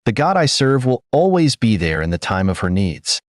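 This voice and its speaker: A man's voice: medium pitch, big pitch swings, normal speed, no echo, studio quality, close to an everyday voice. A man doing a fervent, grateful orphan girl. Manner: fervent, praying blessings. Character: poor orphan young woman, fervent and grateful.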